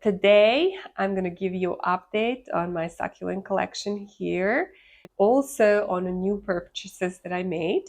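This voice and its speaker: A woman's voice: medium pitch, a little slow, a little mellow. A congested woman from Serbia with a Serbian accent, chatting casually as the host of a gardening vlog. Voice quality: congested from a sinus infection. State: congested with a sinus infection. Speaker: host of a succulent gardening channel.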